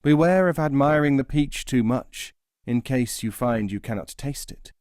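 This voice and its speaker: A man voicing a great Sufi master in a teaching tale, measured and gently admonishing. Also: medium pitch, lively intonation, no room sound, studio quality, close to an everyday voice. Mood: calm rebuke. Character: great Sufi master, male, calm and stern. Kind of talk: storybook teaching-tale character voice.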